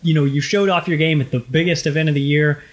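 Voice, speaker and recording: A man's voice: on the high side, fairly even intonation, fast. A young man with a light tenor voice, co-hosting a podcast in casual, rambling chat with many fillers. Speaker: podcast co-host.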